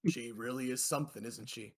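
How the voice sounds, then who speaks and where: Man, game master, mid-range voice, casual improvised narration, halting delivery. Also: mid-range voice. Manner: hesitant, halting, conversational.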